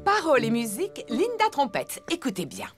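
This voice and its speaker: A woman playing a cheerful, enthusiastic elephant mum in a children's cartoon, expressive and motherly. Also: high-pitched, a little fast, over music.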